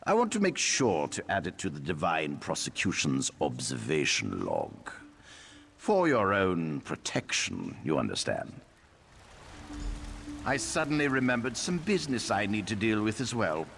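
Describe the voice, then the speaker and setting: An actor voicing a haughty, sneering elf high priest in a video game, condescending and theatrically sarcastic. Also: male voice, wildly swooping pitch, measured pace, vocal fry, fairly bright tone, a little hiss or hum, full character voice. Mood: mocking, veiled menace. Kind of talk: video game character acting.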